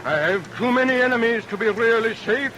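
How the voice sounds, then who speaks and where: An actor playing a scheming, murderous villain barber in a vintage radio melodrama, declaiming theatrically and relishing each threat. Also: male voice, very high-pitched, lively intonation, a little fast, pushed and bright, heavily acted. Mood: gloating, scheming. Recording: vintage radio recording under rain noise.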